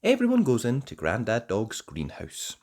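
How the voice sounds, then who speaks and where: A storyteller reading a children's picture book aloud in an expressive way, as host. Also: mid-range voice, normal speed, husky.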